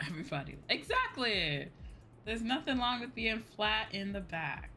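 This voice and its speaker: A woman livestreamer chatting casually as she plays, emphatic and playfully self-deprecating, with an animated way of talking. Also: mid-range voice, a little slow, a little hiss or hum. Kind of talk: casual gaming stream chat. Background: music.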